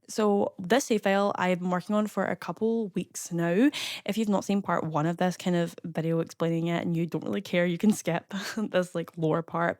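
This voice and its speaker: A young Scottish woman chatting casually as a voice-over, rambling with lots of fillers and quick asides. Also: fairly low pitch, a little mellow, dry recording, clean studio sound. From Scotland. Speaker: YouTuber narrating a game build.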